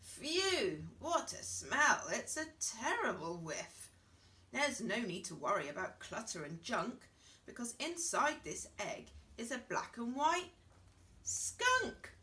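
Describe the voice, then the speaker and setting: A reassuring storybook pig, voiced by a woman. The voice sits a little high and moves slowly, with expressive swings in pitch. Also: exaggerated voice. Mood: confident. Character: calm, reassuring pig sniffing about.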